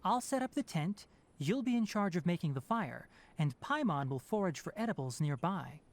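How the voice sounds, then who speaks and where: An actor playing a young, knowledgeable forest ranger in a video game, instructive and practical with a dry candour. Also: male voice, sits high, big pitch swings, moderate pace. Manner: instructive, matter-of-fact, slightly dry.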